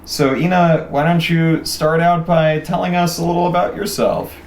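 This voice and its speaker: A man's voice: a little high, steady tone, normal speed. A man hosting a casual interview in an encouraging manner, reacting with interest to the answers. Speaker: interviewer, host. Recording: roomy, distant mic, ambient noise.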